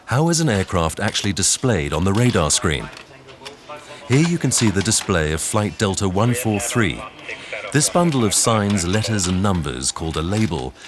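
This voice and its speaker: A man reading scripted documentary narration in a measured, instructional way. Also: low-pitched. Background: background music.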